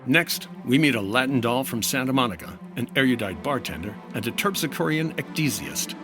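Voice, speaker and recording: An actor playing a dry, unflappable hard-boiled insurance investigator in clipped, deadpan 1950s radio detective style. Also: male voice, medium pitch, brisk, music in the background, close to an everyday voice. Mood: dry, matter-of-fact. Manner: terse, deadpan.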